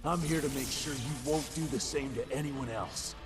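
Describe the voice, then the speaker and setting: An actor playing a grim, determined vampire hunter in a video game, terse and commanding in delivery. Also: male voice, mid-range voice, normal speed, close to an everyday voice. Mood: bitter, resolute. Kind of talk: action game cutscene acting. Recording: very loud music underneath.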